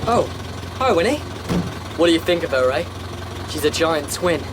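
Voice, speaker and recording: A man's voice: a little high, expressive, moderate pace, noisy background, natural voice. Blunt, sullen English teenage son, acted by a male. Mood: sullen offhand. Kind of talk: radio drama acting.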